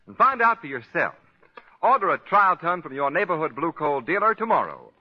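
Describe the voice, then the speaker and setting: A man's voice: on the high side, big pitch swings, normal speed. A man announcing in 1940s radio style, with emphatic, folksy salesmanship. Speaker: radio announcer and sponsor pitchman. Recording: dull 1940s radio recording.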